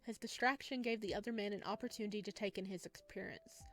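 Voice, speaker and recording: A woman reads visual novel narration aloud as a let's play host, dropping in casual reactions between lines. Her pitch sits in the middle for a woman, and music plays behind her voice. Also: fast talker, fairly bright tone. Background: background music.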